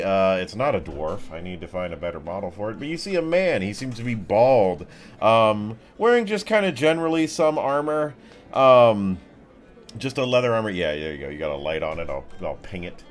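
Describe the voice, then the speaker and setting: A man's voice: mid-range voice, lively intonation, normal speed. A man narrating an improvised tabletop game as game master in a casual, descriptive way. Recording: music behind the voice.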